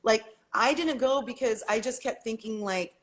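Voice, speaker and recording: A woman's voice: a little high, moderate pace. A woman guest on a casual livestream chat, talking emphatically and bluntly, with some swearing.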